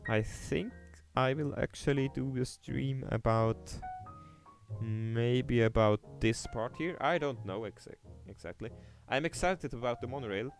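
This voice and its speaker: A man's voice: mid-range voice, a little slow, creaky, soft background music. A young man from Switzerland with a Swiss German accent, casually commenting on his city-building game during a livestream, hesitant, with lots of fillers and restarts while reading chat aloud.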